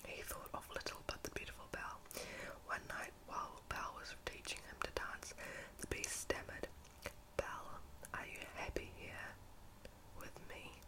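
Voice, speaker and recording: A woman reading a storybook as ASMR in a breathy, unvoiced whisper very close to the microphone, now and then stumbling and repeating words. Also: low-quality recording. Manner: halting read with stumbles and repeats.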